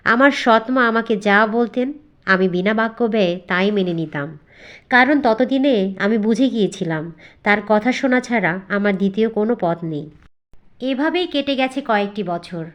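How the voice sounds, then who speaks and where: A woman's voice: on the high side, lively intonation, moderate pace, lo-fi sound, lightly acted. A woman playing a wronged, simple young village stepdaughter who tells her tale earnestly and plaintively in a cartoon fairy tale. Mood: sad, reflective. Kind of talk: storybook narration and cartoon dialogue.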